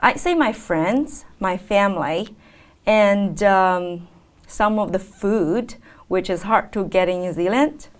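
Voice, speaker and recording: Chinese-born woman answering interview questions. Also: mid-range voice. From China. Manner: thoughtful, many fillers and pauses. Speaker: guest, teacher.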